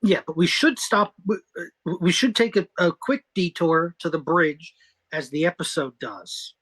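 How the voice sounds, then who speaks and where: Woman podcast co-host, slightly low voice, emphatic and opinionated in casual review chat, quick to chime in. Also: fairly bright tone, slightly rough.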